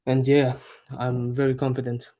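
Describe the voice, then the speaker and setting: A man's voice: medium pitch, completely flat delivery, moderate pace, warm tone. A man briefly introducing himself over a video call in short, plain statements. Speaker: student on a call. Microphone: video call mic.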